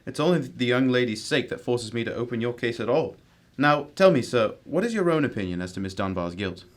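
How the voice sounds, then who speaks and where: A man's voice: mid-range voice, lively intonation, brisk, close to an everyday voice. A man playing a cool, exacting detective in an amateur radio drama, speaking in a formal, probing manner. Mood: probing, stern.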